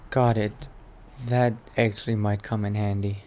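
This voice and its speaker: A man's voice: fairly low pitch, little pitch movement, a little slow, dark tone, slight background noise. A man roleplaying in character through a video game, thinking aloud in a hesitant murmur. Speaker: player roleplaying a vampire Dark Elf character.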